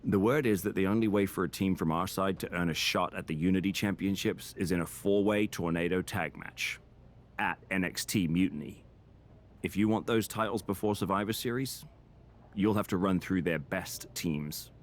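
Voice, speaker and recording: A measured male manager voiced by an actor speaks in a low, deep voice. He is calm, cautious and businesslike, as in a wrestling game cutscene. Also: lightly acted. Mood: cautioning. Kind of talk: video game cutscene acting.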